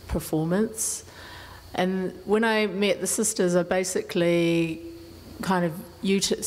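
A soft-spoken woman with a New Zealand accent introduces herself slowly, full of pauses. Her voice is very low and flat. Long gallery reverb trails her words on a stand mic. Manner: soft-spoken, many ums. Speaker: panelist, performer. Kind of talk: hesitant self-introduction. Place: indoor art gallery.

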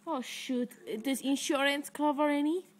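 High-voiced teen girl prank calling. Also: steady tone, unhurried, a little hiss or hum. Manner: fake-distressed, rambling, stifling laughs. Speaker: prank caller. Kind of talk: prank phone call. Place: living room at home. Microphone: laptop webcam mic up close.

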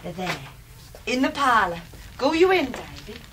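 A woman's voice: a little high, sing-song, moderate pace, fairly bright tone, a little hiss or hum, poor audio. A woman playing a homely, loyal English servant in a radio drama, motherly and blunt, with rustic speech. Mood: nervous coaxing.

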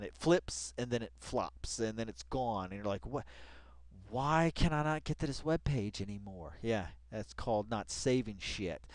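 A man reading along with an article and commenting casually, rambling and excitable, repeating phrases for emphasis and swearing freely. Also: medium pitch, dry recording. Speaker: video commentator.

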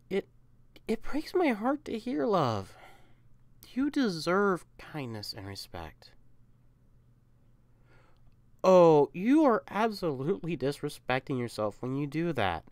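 A woman plays a protective, loving young catperson in an ASMR-style roleplay. The voice sits low and moves expressively up and down, recorded close and dry. The delivery is tender and coaxing, with emphatic reassurance for a partner. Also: very animated, measured pace, a little mellow, no room sound. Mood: upset, pleading.

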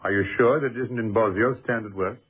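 A man acting in a radio drama as an eager, inquisitive gentleman who presses his colleague briskly and impatiently, often repeating himself. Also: fairly low pitch, steady tone, close to an everyday voice. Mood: impressed. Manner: brisk, pressing, repeats words, hearty old-fashioned speech. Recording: muffled lo-fi old radio recording.

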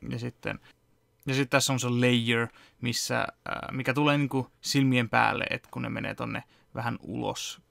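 A man in his thirties with a gravelly, fry-tinged voice talks through an editing timeline at his home desk. He rambles easily and chuckles often. The sound is close and dry, with no room around it. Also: medium pitch, steady tone, a bit bright, no room sound. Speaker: fan dub editor and vlogger. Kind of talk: casual behind-the-scenes walkthrough. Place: home room with computer setup.

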